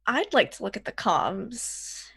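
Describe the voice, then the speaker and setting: A woman's voice: mid-range voice, very animated, a little slow, creaky, fairly bright tone, husky, no room sound. A woman speaking casually as a player in a tabletop role-playing game. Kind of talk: casual in-character tabletop chat.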